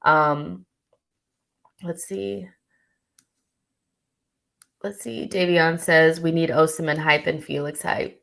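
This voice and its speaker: A young woman with a low voice hosts a live stream. She reads chat messages aloud and answers them off the cuff, chatty and loose, often pausing on "um" or restarting a sentence. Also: steady tone, creaky, a bit bright. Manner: chatty, thinks aloud with many ums and restarts. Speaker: channel host reading live chat.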